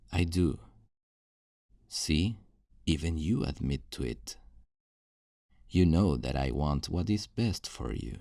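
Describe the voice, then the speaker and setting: Man playing a deep-voiced, possessive kidnapper. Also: vocal fry, dark tone, dry recording, studio quality, heavily acted. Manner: slow, condescending, coaxing. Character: possessive, self-righteous male kidnapper, menacingly tender. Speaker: audio roleplay performer. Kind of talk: ASMR roleplay monologue.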